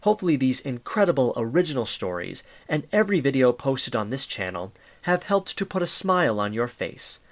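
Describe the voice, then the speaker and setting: A man narrating a holiday audio drama as a kindly storyteller, in a measured, grandiose, theatrical way. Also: medium pitch, big pitch swings. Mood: sincere address.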